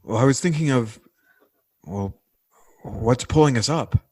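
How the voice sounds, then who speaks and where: A man's voice: mid-range voice, animated, a little slow, a little mellow, husky. A man playing a trapped, resigned character in a 1940s-style radio drama, speaking in terse, hesitant, brooding phrases. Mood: dazed, brooding.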